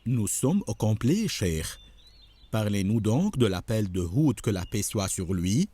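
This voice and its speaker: Mellow, devout cartoon believer. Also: male voice, fairly low pitch, sing-song, a little slow, husky, full character voice. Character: devout, courteous follower of the prophet. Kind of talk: French cartoon dubbing.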